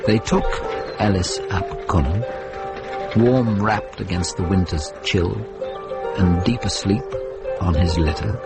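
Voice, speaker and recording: An actor narrating a medieval radio drama as a solemn, measured storyteller. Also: male voice, deep voice, over loud music.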